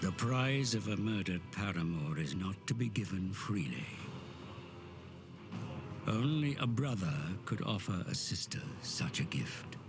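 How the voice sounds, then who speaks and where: A man giving grave, scripted narration for game cutscenes, slow and measured, dropping at times to a hushed whisper. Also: on the low side, very animated, over loud music. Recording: studio narration over loud orchestral score.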